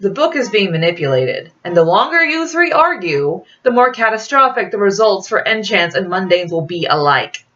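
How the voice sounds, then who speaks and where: A woman's voice: medium pitch, big pitch swings, normal speed, hardly any pauses, fairly bright tone, voice pushed up. Woman as storybook queen, composed, formal, commanding, varied intonation, slightly dull recording. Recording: dull lo-fi audio.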